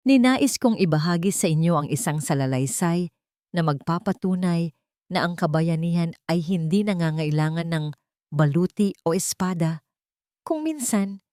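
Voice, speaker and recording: A woman narrating a romantic audio drama in a gentle, heartfelt tone, speaking directly to her listeners. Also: fairly low pitch, no room sound, clean studio sound. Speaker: narrator and storyteller.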